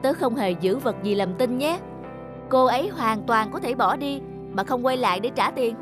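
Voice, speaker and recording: A woman's voice: on the high side, lively intonation, normal speed, music in the background, natural voice. A woman voicing a stern but kind-hearted young businessman in a cartoon, speaking in a firm, lecturing way. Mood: explaining. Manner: firm, lecturing, matter-of-fact. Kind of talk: cartoon voice acting.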